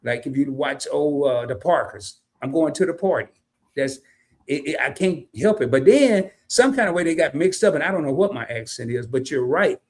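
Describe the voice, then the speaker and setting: Animated man co-hosting a live stream. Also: on the high side. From family from Arkansas and Chicago, lived in California. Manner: animated storyteller who drops into quick asides and reads comments in voices. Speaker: co-host of a live discussion stream. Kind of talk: casual live-stream talk, reading viewer comments aloud.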